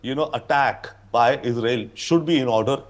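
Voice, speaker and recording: A man giving news debate commentary as an analyst, speaking emphatically and haltingly with frequent asides. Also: medium pitch. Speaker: panel analyst.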